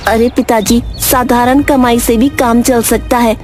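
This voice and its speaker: Lady doing an earnest stubborn young guy from a village, highish voice, cartoon, faint music. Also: fairly even intonation, measured pace, a little mellow, natural voice. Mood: earnest, determined. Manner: earnest, polite. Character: stubborn, principled young village son, food seller. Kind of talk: Hindi moral-story cartoon acting.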